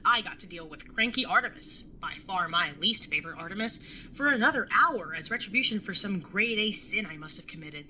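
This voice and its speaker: A woman acts out an exasperated older sibling in an audio drama, dry and sarcastic. The voice is very bright and forceful, that of a young adult, with a faint rumble of noise behind it. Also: animated, a little fast, low-quality recording. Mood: wry narrating. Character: exasperated, wry older sibling, narrating a road trip. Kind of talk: audio drama acting and first-person narration.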